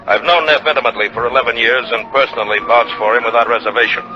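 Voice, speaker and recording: An American actor playing a shrewd, combative insurance investigator in a 1950s radio drama, brisk, emphatic and tough. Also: male voice, medium pitch, natural voice. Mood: formal, firm. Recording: dull 1950s radio recording, music behind.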